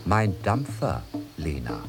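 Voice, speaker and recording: Deep, dreamy ship's captain. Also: male voice, very animated, slow, gravelly, exaggerated voice. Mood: wondering, drowsy. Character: dreamy, amorous male ship's captain in a fairy tale. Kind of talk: German radio drama acting. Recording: music under the voice.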